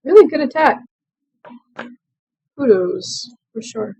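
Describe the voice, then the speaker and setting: A young woman casually commenting on her own strategy game play, chatty and quick to laugh at herself. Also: sits high, lively intonation, mellow, slightly rough, no echo, poor audio. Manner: chatty, self-deprecating, laughs often. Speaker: let's play gamer.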